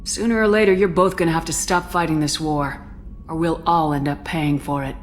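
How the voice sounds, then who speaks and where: An actress voicing a steady female commander who speaks directly and firmly. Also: deep voice, a little fast, slight background noise, natural voice. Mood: persuasive. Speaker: player character. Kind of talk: video game voice acting. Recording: game audio with music behind.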